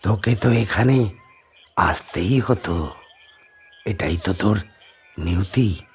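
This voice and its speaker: A man voicing an ominous, commanding old holy man with a husky voice and slow, drawn-out delivery, in a horror audio drama. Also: deep voice, a little hiss or hum. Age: older. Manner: slow, drawn-out, menacing chanting and speech.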